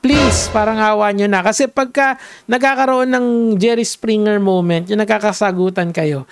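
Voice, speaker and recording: High, gravelly Filipino man. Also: fairly even intonation, a little fast, music in the background. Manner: switches between Tagalog and English, rambling and lecturing. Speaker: online commentator. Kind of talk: casual reaction vlog commentary.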